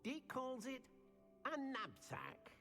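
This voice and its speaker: A man's voice: extremely high pitch, wildly swooping pitch, slow pace, over music, heavily acted. An actor voicing a small, polite male house-elf servant in a video game, eager and fussily deferential. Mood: eager, helpful. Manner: refers to self in third person, fussy and deferential. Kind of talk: video game character acting.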